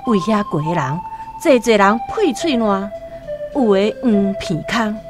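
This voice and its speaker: Slow, very animated Taiwanese woman storyteller. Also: medium pitch. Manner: expressive, deliberate. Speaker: narrator. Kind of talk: dramatic scripted storytelling for radio. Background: music.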